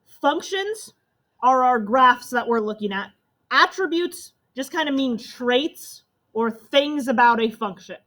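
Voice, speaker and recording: A young woman with a loud voice, teaching a math class step by step. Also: high voice, animated, slow. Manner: step-by-step explaining to students.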